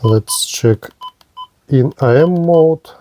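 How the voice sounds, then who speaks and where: A man giving a hands-on demonstration of gear as a product reviewer, speaking in short, halting phrases. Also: fairly high pitch, warm tone, a little hiss or hum.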